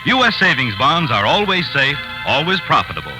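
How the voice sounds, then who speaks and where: American man, 1940s radio announcer reading scripted copy, slightly high voice, music underneath. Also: sing-song, brisk, rough recording. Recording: vintage 1940s radio broadcast.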